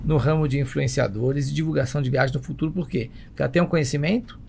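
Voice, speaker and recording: Fast, creaky-voiced Brazilian man. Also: medium pitch, darkish tone, slight background noise. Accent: Brazilian Portuguese. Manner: emphatic, repetitive, jokey, rhetorical questions. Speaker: travel agency entrepreneur, podcast guest. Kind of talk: animated conversational interview.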